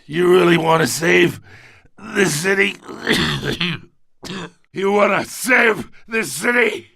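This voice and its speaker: A man playing a desperate, panicky criminal in a video game, frantic and loud, with a strained, gravelly voice. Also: sits high, lively intonation, slow pace, dry recording, clean studio sound, heavily acted. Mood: bitter. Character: panicky, desperate male criminal under threat.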